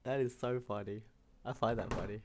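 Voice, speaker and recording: A woman's voice: extremely low pitch, fairly even intonation, a little fast. A woman game streamer giving casual, chatty and slightly hesitant playthrough commentary. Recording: music behind the voice. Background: game music.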